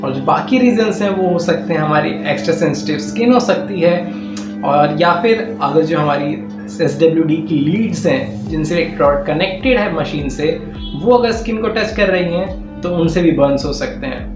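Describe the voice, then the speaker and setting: A man's voice: a little high, normal speed. A man with an Indian accent gives an informal, step-by-step explanatory lecture, mixing English technical terms into his speech. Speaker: physiotherapy teacher and channel host. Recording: echoey room sound. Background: clear background music.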